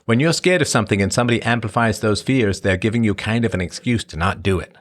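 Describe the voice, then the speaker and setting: A middle-aged man from Ireland and England, rambling casually as a host answering his live chat. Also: fast talker. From born in Ireland, grew up in England. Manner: rambling, conversational, emphatic swings in pitch. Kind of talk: casual monologue.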